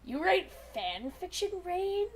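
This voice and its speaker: A young woman podcast host rambling in an animated, teasing way during a casual group chat. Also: extremely high pitch, slow.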